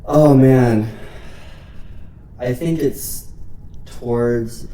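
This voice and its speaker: Dark mellow-toned guy hosting a chat, easygoing, bit of hiss in the background. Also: medium pitch, fairly even intonation, very slow. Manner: friendly and easygoing, says "like" often. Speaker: podcast host. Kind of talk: casual interview chat.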